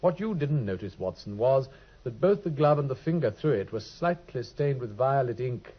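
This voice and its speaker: An actor playing a brilliant, dryly teasing detective in a vintage radio drama, speaking with crisp, precise wit. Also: male voice, mid-range voice, animated, normal speed, close to an everyday voice. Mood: wry. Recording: dull old radio recording.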